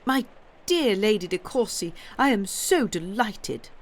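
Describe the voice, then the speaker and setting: A woman's voice: medium pitch, animated, measured pace, voice pushed up. A Victorian bishop's wife from a novel, put on by a woman reading aloud. She is grim at heart yet ingratiating, making effusive, polite small talk with a catty edge. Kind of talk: audiobook character voice.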